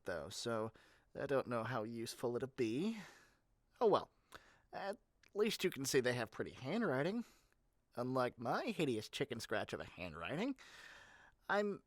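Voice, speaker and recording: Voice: medium pitch, dry recording, studio quality. A performer playing an eager, chatty salesperson in a scripted roleplay, rambling on and breezily matter-of-fact about menacing things. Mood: chirpy, rambling. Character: eager, chatty salesperson matchmaking dangerous obsessive lovers. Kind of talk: scripted ASMR roleplay addressed to the listener.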